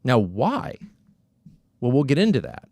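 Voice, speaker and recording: Lively, sarcastic male commentator. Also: mid-range voice, a little slow, dry recording, faint music behind. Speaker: host. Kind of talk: political commentary monologue.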